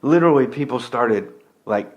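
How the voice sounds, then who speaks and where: A man, hosting a laid-back podcast conversation. He talks with animated energy and laughs often, at a middle pitch. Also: moderate pace, dull audio. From Tennessee, US. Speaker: podcast host. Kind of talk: casual chat.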